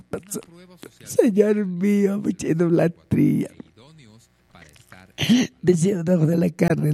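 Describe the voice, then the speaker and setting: An older man with a Peruvian Spanish accent and a husky, gravelly voice, praying off the cuff in short, pleading, repeated phrases. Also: on the high side, warm tone, no echo. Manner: pleading, repetitive short phrases with frequent invocations. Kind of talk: extemporaneous fervent prayer.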